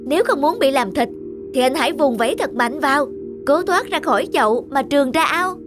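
A woman voicing a shrewd rabbit in an animated folk tale, speaking urgently and persuasively. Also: sits high, brisk, over music.

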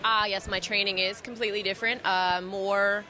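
Young female interviewee, playful and jokey, bright edge, handheld mic in busy hall. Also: medium pitch, little pitch movement. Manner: playful, joking, quick to laugh. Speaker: bikini competitor guest. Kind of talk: casual expo interview. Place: busy expo hall, indoors. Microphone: handheld mic held by interviewer.

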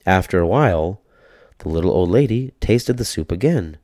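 A man reads a children's story aloud in a low, mellow voice. His delivery is expressive and rhythmic, with animated intonation. Each phrase is placed deliberately. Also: dry recording, studio quality. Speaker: storybook narrator. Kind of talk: children's read-aloud, scripted narration.

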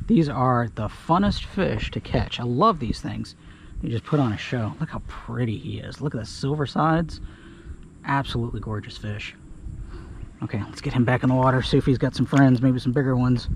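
A man casually talking through a fishing trip from his kayak on a creek, relaxed and full of filler words, recorded on an action camera outdoors. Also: medium pitch, normal speed, vocal fry. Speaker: fishing guide. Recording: outdoor camera mic, audible background noise.

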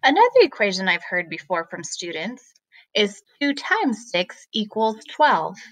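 A woman, a math teacher, explaining step by step to children in a deliberate, questioning way. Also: mid-range voice, big pitch swings, a bit bright, poor audio.

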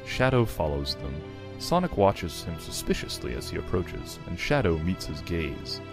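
A man with a low voice narrates a fan story. His delivery swings up and down and stays casual. Music plays clearly behind him. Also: sing-song, normal speed. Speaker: narrator of a group fan fiction reading. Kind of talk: scripted narration with casual joking and swearing. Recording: loud background music.

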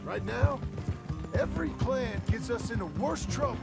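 A sour American outlaw in a game, impatient and disillusioned. His voice is high for a man yet full of gravel, with sardonic swings and loud music underneath. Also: lively intonation, normal speed. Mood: bitter. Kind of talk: video game dramatic acting.

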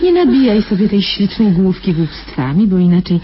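A woman's voice: medium pitch, expressive, moderate pace, natural voice. An actress playing a condescending, superstitious private nurse in a radio drama, coaxing her patient with patronizing endearments. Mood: patronizing, stern. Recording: dull, noisy old radio drama recording.

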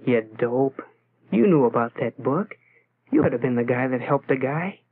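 Brooding lonely schoolboy, tinny old film. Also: male voice, mid-range voice, expressive, moderate pace, husky. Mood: self-reproachful. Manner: brooding, self-questioning. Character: lonely, self-doubting teenage schoolboy musing to himself. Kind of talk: 1940s educational film inner-monologue narration. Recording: tinny, noisy 1940s film soundtrack.

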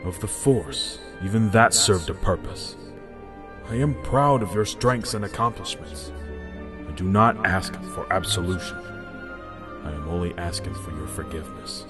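Man acting a very deep repentant dark lord. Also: measured pace. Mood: grave, remorseful. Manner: grave, formal, earnest. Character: repentant dark lord, solemn pleading father's ghost. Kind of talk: audio drama acting. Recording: music loud behind the voice.

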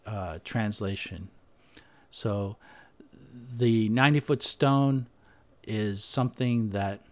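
A man presenting history research over slides, speaking off the cuff with frequent pauses, "uh"s and restarts. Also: fairly low pitch. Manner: unscripted, thinking aloud with frequent "uh" and restarts. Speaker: history podcaster. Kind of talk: screen-share presentation, talking over slides.

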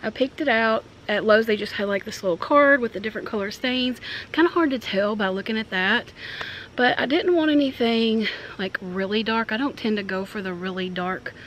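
A woman's voice: on the high side, normal speed, a bit bright, low-quality recording. A woman in her thirties chatting casually and informally to the camera as a home makeover vlogger, with a self-deprecating manner. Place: outdoors on a porch and wooden ramp. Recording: clear background noise, outdoor ambience.

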